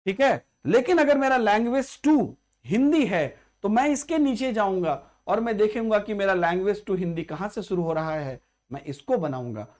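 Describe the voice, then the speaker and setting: A man's voice: high voice, lively intonation, moderate pace, darkish tone. A man, teaching as an exam prep tutor who walks through an exam paper step by step and repeats key points to check understanding.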